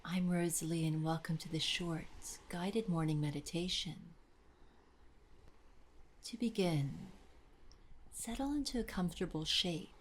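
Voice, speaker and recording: Slow, soft-spoken woman guiding meditation. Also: on the low side, a little hiss or hum. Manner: soft, soothing, breathy delivery with pauses. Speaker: meditation guide. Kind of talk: guided meditation. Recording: voice over clear wave sounds. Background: ocean waves.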